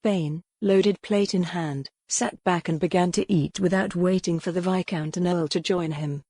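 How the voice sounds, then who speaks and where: A woman narrating a novel in an even, measured storytelling manner, recorded close to a studio mic. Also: fairly low pitch, no room sound. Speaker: audiobook narrator.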